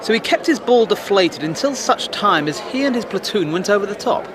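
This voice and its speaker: Urbane English gentleman, high lively voice. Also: brisk, crowd noise. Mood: probing. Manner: polite, urbane, probing. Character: well-spoken, inquisitive British gentleman questioning a soldier. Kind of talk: audio drama acting.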